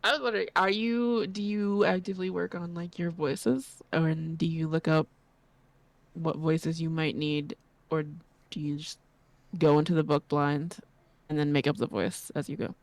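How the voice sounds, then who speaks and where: Creaky, lowish-voiced woman chatting casually. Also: little pitch movement, dry recording. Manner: informal, halting, frequent "like". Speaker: panelist asking questions. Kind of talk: casual panel chat.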